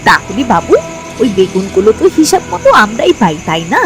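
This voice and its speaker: A man's voice: high-pitched, very animated, a little fast, fairly bright tone, soft background music, heavily acted. A man voicing a deferential village man pleading his case before the headman in a cartoon. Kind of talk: cartoon voice acting.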